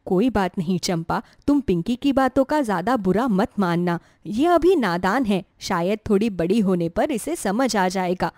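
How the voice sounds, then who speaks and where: Woman voicing a caring mother, pitched lower, earnest. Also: lively intonation, moderate pace, few pauses, darkish tone, no room sound, studio quality, natural voice. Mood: soothing. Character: caring middle-aged mother, gently scolding and reassuring.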